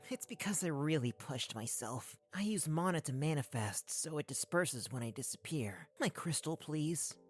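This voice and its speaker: A very deep-pitched, gentle little spirit cat, played by a woman in an anime fan dub. The creature speaks calmly with fatherly care and light teasing, over some music. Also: normal speed, few pauses, slightly rough. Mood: weary.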